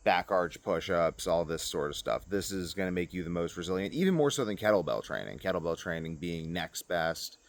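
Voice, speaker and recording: A man's voice: low voice, expressive, fairly quick, hardly any pauses. A man talking casually to viewers in a loose, informal, rambling vlog style. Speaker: vlogger. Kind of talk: casual rambling fitness and lifestyle vlog talk.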